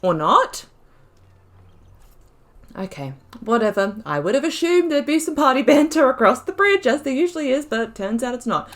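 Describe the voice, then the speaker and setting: A woman's voice: sits high, big pitch swings, moderate pace. A woman giving casual gameplay commentary as the host, chatty and giggly, thinking aloud as she goes. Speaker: gaming YouTuber, host of a playthrough.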